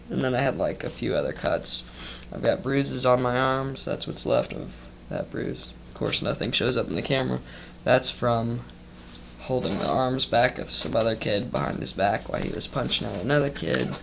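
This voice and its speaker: A young man chats through a rambling vlog update with a stuffed-up nose from a cold. He sounds worn out after a long shift, and his mid-range voice slips into gravelly fry. He talks loosely, with plenty of ums and side comments. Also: mid-range voice, fairly bright tone, a little hiss or hum. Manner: loose and chatty, full of ums and trailing asides. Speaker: vlogger.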